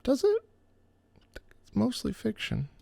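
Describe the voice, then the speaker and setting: A man's voice: very high voice, wildly swooping pitch, takes it slowly, vocal fry. A man hosting a music reaction video, talking in a chatty, enthusiastic, casual way. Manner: chatty, enthusiastic, with many fillers and restarts.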